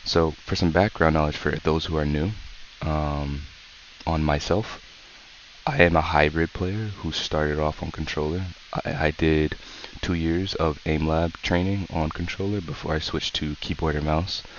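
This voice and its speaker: Man, very deep voice, sore throat, soft calm coaching, muffled lo-fi recording. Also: unhurried. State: sick with a sore throat, speaking softly. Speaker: gaming channel host. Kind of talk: casual tutorial commentary. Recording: dull lo-fi mic with faint hiss.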